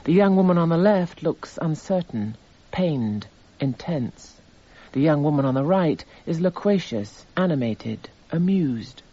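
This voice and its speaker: The narrator of a courtroom radio play, detached and formal. He lists items in short, clipped phrases, his voice fairly high yet soft-toned. The recording is slightly muffled. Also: expressive, unhurried. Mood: detached, descriptive. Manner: clipped, itemising, descriptive. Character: detached, formal male narrator reading out trial evidence. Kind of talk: scripted radio drama narration.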